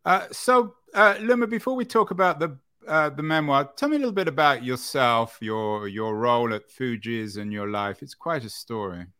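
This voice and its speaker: A man hosting an interview show, introducing his guest and asking questions in a halting way with many pauses. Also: medium pitch, lively intonation, sharp tone, clean tone. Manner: halting, many uhs and restarts. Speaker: podcast host.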